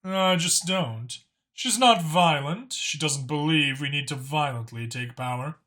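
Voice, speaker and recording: A man voicing a dignified male dragon in an audiobook, speaking in a measured, guarded and formal way. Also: fairly high pitch, pushed and bright. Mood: earnest. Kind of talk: audiobook character acting.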